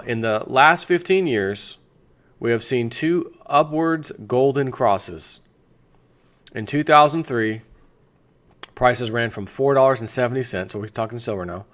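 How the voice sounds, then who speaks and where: A man hosting a financial news video, reading an article aloud in a conversational way and breaking off for off-the-cuff asides. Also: lowish voice. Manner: conversational, breaks off to add asides and rhetorical questions.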